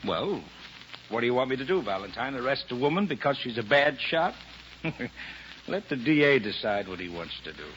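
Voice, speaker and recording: An actor playing a gruff, sardonic male police lieutenant in a 1940s radio detective drama. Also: medium pitch, big pitch swings, moderate pace, fairly bright tone. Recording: dull old radio with faint noise.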